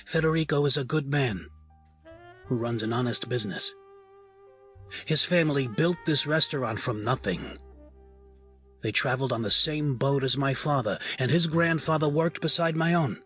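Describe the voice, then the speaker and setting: An actor as a proud, menacing mob patriarch in an audio drama. He speaks in formal, measured phrases at a middling male pitch, grave and dignified, with music playing behind him. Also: fairly bright tone, close to an everyday voice. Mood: gracious, solemn.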